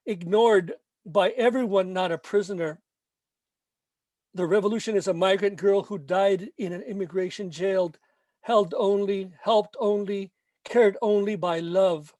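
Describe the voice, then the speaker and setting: A man reading his own protest poem in a slow, emphatic, chanting way, after brief spoken remarks with some hesitation. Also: high voice, steady tone, darkish tone, no echo. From Mexico. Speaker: poet. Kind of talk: poetry reading.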